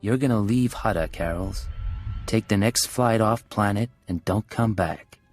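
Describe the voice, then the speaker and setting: An actor playing a cool, quietly menacing spy in a video game, with a husky voice and measured, controlled delivery. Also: male voice, lowish voice, faint music behind. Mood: cool, firm. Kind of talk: dramatic video game acting.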